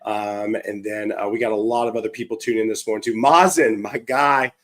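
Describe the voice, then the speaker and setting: Hoarse, chatty man hosting a stream. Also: on the low side, fairly even intonation, fairly quick, a bit bright. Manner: upbeat, chatty, many fillers and restarts. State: hoarse from a cold. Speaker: livestream show host. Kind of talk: casual live-stream chat.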